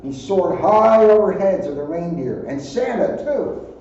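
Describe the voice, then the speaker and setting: A man's voice: sits high, animated, measured pace, a little mellow. A man reading a children's storybook aloud, adding his own offhand asides. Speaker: police officer reading aloud. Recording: muffled, very echoey, distant, faint noise.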